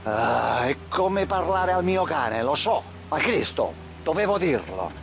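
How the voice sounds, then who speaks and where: A proud, exasperated Italian gangster in a crime game. His pitch swings widely as he scolds, and he speaks slowly and deliberately. Clear road noise runs behind him. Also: sing-song, full character voice. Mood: scolding. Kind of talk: 1930s gangster game dialogue.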